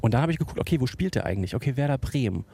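A man in his thirties from Dresden speaks as an interview guest. He talks quickly, earnest and reflective, now and then pausing or restarting a phrase. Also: mid-range voice, animated, warm tone, slightly rough. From Dresden, Germany. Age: 30s. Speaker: guest, football fan. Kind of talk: interview storytelling.

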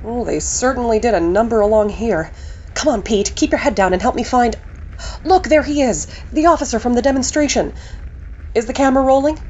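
A woman's voice: on the high side, brisk, a little mellow, muffled recording, a little hiss or hum, lightly acted. An actress playing a brash, bossy female TV news reporter in an audio drama. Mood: urgent. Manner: bossy, pushy.

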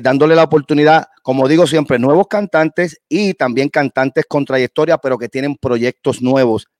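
A man's voice: mid-range voice, a little mellow, no room sound, studio quality. A man, hosting an interview in a chatty, enthusiastic way. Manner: chatty, enthusiastic, hype-building. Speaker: host. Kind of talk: casual interview chat.

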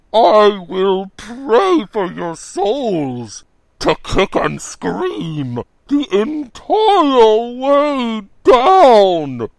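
A gravelly, wrathful giant zealot. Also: male voice, very high voice, no room sound, voice pushed up, heavily acted. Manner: slow, drawn-out preacher-like bellowing.

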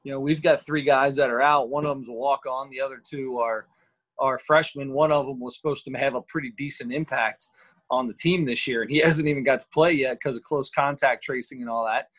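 A man's voice: mid-range voice, steady tone, brisk, a bit bright. A man co-hosting a casual sports podcast, upbeat and rambling, calling himself a positive thinker. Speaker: podcast co-host.

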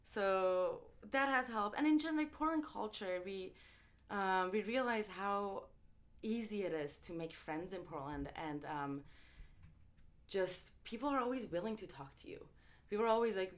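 A woman's voice: mid-range voice, normal speed. A young woman from Iran, a guest in a casual interview, talking thoughtfully with frequent "um" and "like" and easy laughter. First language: Farsi. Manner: thoughtful, frequent ums and likes, laughs easily.

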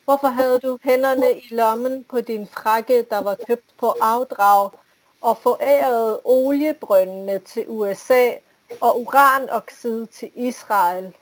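Slow, solemn woman reading poetry. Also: a little high, steady tone, dry recording, low-quality recording. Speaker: poetry reader.

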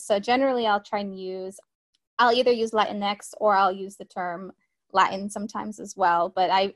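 A woman with a mid-pitched voice answers as a panel guest. She speaks in an articulate, considered way. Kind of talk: thoughtful discussion.

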